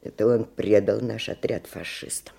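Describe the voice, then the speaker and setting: An actress playing an embittered older woman, a war survivor, in a radio drama, speaking in an anguished, halting way. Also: a bass voice, steady tone, creaky, mellow, husky, exaggerated voice. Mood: bitter, grave. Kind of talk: Russian radio drama acting.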